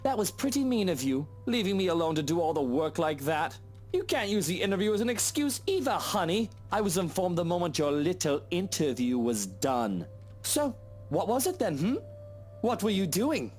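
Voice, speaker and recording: A man doing a sneering drunk husband, lively. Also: high-pitched, normal speed, soft background music, voice pushed up. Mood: accusing. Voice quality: sneering, patronizing drawl. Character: drunk, jealous, condescending husband. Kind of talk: voicing a visual novel character.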